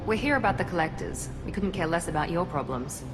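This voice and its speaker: A female squadmate played by an actress, slightly low in pitch. She sounds businesslike and sceptical, in game dialogue with music behind her. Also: animated, quick pace, natural voice. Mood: sceptical, brusque. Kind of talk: video game voice acting.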